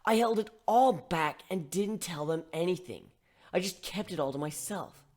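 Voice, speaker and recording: A man's voice: high-pitched, animated, normal speed, darkish tone, pitched above the performer's own voice. A man playing a guarded, self-reproaching young man who confesses his faults in a dramatic story reading. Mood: frantic self-reproach. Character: remorseful, emotionally guarded young male protagonist of a story.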